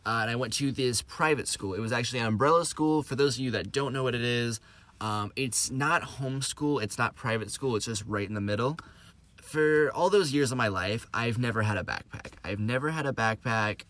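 A young man hosts his podcast in a loose, rambling monologue, full of fillers and self-mockery. His voice is bright and forward. The sound is close and dry, with no room around it. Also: medium pitch, a little fast, no room sound. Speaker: podcast host. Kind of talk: casual storytelling monologue.

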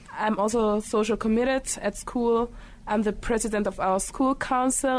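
A teenage girl born in Cuba introducing herself in English with brisk confidence. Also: on the high side, a little mellow. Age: teens. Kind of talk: self-introduction.

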